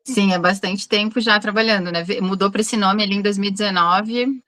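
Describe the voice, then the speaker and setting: A woman's voice: medium pitch, fairly even intonation, a little fast, fairly bright tone, no room sound. A woman with a Brazilian Portuguese accent hosting an informal interview and asking questions. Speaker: interview show host.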